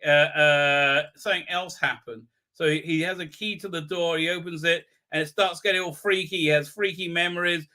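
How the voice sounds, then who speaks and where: Sharp, high-voiced sarcastic man. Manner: rambling, halting, repeats words, sarcastic; exasperated, animated outbursts. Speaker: host of a fan review livestream. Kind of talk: casual mocking episode recap.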